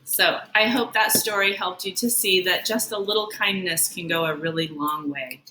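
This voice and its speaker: A woman reading a children's picture book aloud like a teacher, in an expressive, deliberate way. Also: fairly low pitch, noisy background. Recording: roomy, echoing sound.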